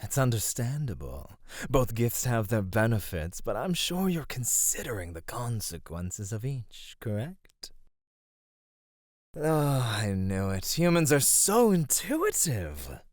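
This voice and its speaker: A man's voice: mid-range voice, sing-song, slow, no echo, clean studio sound. A man voicing a sly, flirty immortal god of beauty in an ASMR roleplay, teasing and coaxing without hurry. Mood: gentle, amused.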